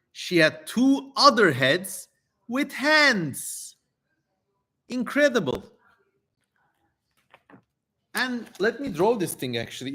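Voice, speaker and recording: A man's voice: sits high, pushed and bright. A man hosting a casual livestream, reading aloud and commenting in a wry, playfully theatrical way. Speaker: livestream host.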